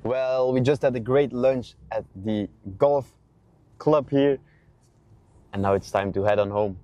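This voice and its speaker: A man's voice: mid-range voice, a little slow, warm tone, lo-fi sound. A man, chatting casually as a car vlogger while driving. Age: 20s. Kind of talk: casual vlog chat. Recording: clear noise, car and road sound.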